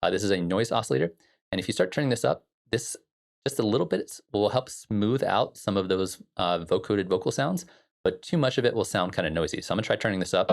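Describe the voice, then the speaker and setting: A man with a fairly low voice and a lot of vocal fry walks through music software as an instructor. His delivery is informal and explanatory, like a casual tutorial. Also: fast talker.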